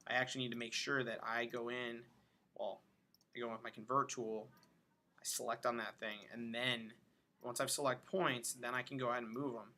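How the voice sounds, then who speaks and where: A man giving an informal software tutorial, thinking aloud as he demonstrates each step. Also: mid-range voice, level tone, moderate pace. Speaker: animation instructor. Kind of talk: casual screen-recorded tutorial narration.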